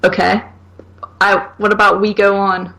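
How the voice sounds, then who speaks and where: A woman with a strongly creaky, medium-pitched voice co-hosts a podcast. She chats informally and hesitantly, hedging her opinions and leaning on "like" as she works through a thought. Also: little pitch movement, measured pace, bright, forceful tone. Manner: hesitant, hedging, heavy use of "like". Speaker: podcast co-host. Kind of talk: casual chat.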